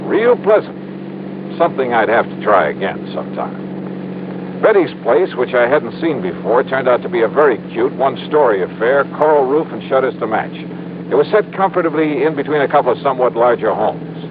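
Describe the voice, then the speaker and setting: An American actor playing a wry, flirtatious insurance investigator in a 1950s radio detective drama, with slangy, breezy delivery. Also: male voice, mid-range voice, natural voice. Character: wisecracking, flirtatious male freelance insurance investigator. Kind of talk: 1950s radio detective acting, first-person narration. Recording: muffled, noisy old-time radio recording.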